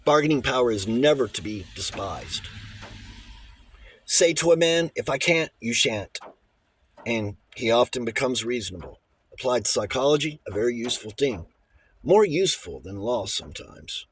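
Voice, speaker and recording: A man's voice: mid-range voice, animated, normal speed, slight background noise, natural voice. A man voicing a little old bachelor lawyer in an audiobook, formal and precise, shifting between diffidence and relish for a fight. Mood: authoritative, relishing. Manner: hesitant stammers giving way to precise, emphatic pronouncements.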